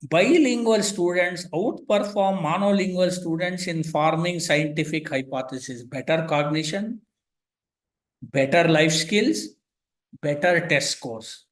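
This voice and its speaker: Older man, indian english accent, somewhat high voice, lecturing over slides, echoey room. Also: takes it slowly. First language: Kannada. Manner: explanatory, pointing at slides, dry asides. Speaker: visiting professor. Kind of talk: online lecture.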